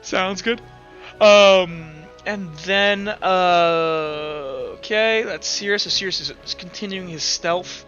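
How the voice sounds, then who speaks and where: A man's voice: high voice, slow pace, sharp tone. A man acting as game master in a tabletop roleplaying game, explaining rulings in an accommodating way. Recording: dull sound with music underneath. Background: music.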